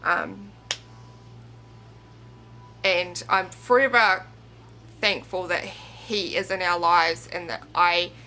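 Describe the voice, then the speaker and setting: A woman in her twenties speaking reflectively and haltingly, confiding in a personal vlog monologue. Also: fairly low pitch, pushed and bright, slight background noise. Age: 20s. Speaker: video blogger.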